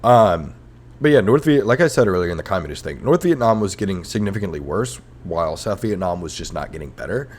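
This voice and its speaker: A man's voice: sits low, animated, a little fast, husky. A man hosting a comedic history podcast in a rambling, sarcastic, self-mocking monologue. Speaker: podcast host.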